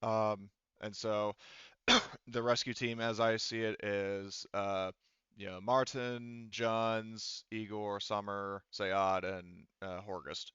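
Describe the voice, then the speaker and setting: A man working as game master, narrating a tabletop roleplaying game in a casual, halting way. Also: fairly low pitch, little pitch movement, fairly bright tone, clear, resonant tone, no echo. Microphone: online voice chat microphone. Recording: dull, dry online voice chat.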